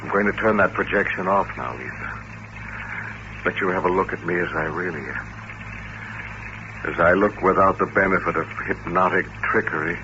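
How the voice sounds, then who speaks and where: A man acting a brooding, low-voiced mutant on vintage radio. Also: moderate pace, long pauses, dull audio, natural voice. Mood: resigned, commanding. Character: bitter, lonely mutant man with hypnotic powers. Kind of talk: old-time radio drama acting. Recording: noisy, band-limited vintage radio recording.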